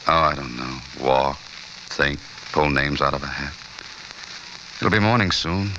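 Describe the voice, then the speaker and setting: Very deep-voiced hard-boiled American newspaperman, hissy recording. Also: measured pace, highs cut off. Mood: brooding. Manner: terse, wry, pressing questioner. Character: hard-boiled, brooding newspaperman investigating a young woman's death. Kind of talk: 1940s-50s American radio drama acting. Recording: noisy old radio recording, steady hiss.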